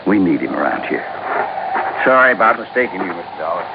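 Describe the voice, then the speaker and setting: An actor playing a gruff, folksy Western town marshal in a 1950s radio drama. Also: male voice, deep voice, big pitch swings, fast, heavily acted. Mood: gruff, fond. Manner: folksy, rustic phrasing. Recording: tinny, noisy old radio recording.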